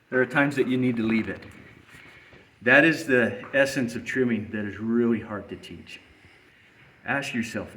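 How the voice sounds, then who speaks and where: A middle-aged man gives a patient, hands-on farrier lesson, talking while bent over a hoof. His voice sits at an ordinary pitch and carries the echo of a large barn. A faint background hiss runs under it. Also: a bit bright. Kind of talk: hands-on trimming demonstration. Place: roomy barn with concrete floor. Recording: echoey barn.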